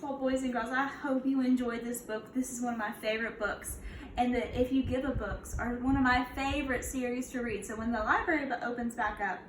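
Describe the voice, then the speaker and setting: A woman's voice: fairly high pitch, steady tone, brisk. A woman, a kindergarten teacher, reads a picture book aloud to young children in an instructive way, prompting them with questions. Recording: echoey room, clear background noise.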